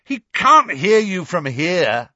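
Woman voicing a defiant, shouting teenage boy wizard. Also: fairly low pitch, sing-song, slow pace, dry recording, muffled recording, pitched above the performer's own voice, full character voice. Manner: shouting, mocking.

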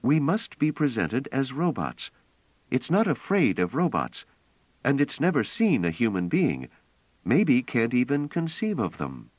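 A man voicing a calm, soothing young woman. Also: medium pitch, unhurried, husky, no echo, voice pushed up, full character voice. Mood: urgent. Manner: soft, coaxing, firm. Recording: dull, dry studio audio.

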